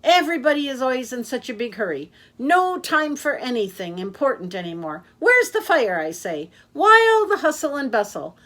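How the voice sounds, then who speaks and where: Woman playing a gabby male yak taxi driver, rambling, fast and high-pitched, storybook voice. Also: big pitch swings, fairly bright tone. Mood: chattering.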